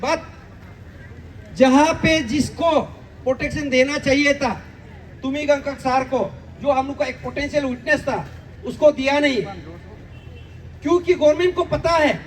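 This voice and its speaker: A male activist with an Arunachali accent delivers an earnest public address at a rally. His voice is strikingly high for a man. He presses his points and repeats phrases, heard at a distance through a slightly noisy recording. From Arunachal Pradesh, India. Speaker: activist speaker at a protest rally. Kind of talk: public address at a rally, Hindi mixed with English. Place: public rally venue, voice picked up from afar.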